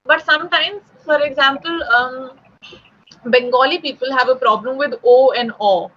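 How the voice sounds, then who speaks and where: A young woman from Delhi tutors spoken English in a relaxed one-on-one lesson, her Delhi Indian English accent clear in every phrase. Her voice is bright and a little high, and she keeps checking in with the student as she explains. The call audio is muffled and lo-fi. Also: moderate pace, slight background noise. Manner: confiding, checks in often with "right?". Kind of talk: online tutoring conversation.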